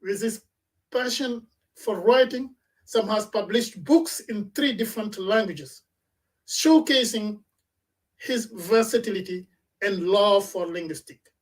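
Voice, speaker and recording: Woman hosting, heard from a distance. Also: mid-range voice. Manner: measured, with frequent restarts. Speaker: radio talk show host. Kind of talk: formal introductions and panel moderation. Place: in Toronto.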